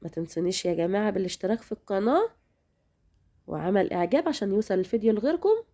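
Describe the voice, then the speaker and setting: A quick, chatty Egyptian woman walks her viewers through a recipe at home. Her voice is mellow and a little worn from a recent illness. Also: medium pitch, animated. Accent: Egyptian Arabic. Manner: chatty, speaks directly to viewers. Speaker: cooking channel host. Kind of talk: home cooking demonstration.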